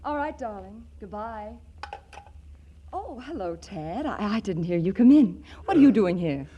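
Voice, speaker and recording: An actress playing a sweet, affectionate young woman with a gentle manner in a 1940s radio comedy. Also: medium pitch, very animated, unhurried, a crowd in the background. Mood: adoring. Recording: muffled vintage 1940s radio recording.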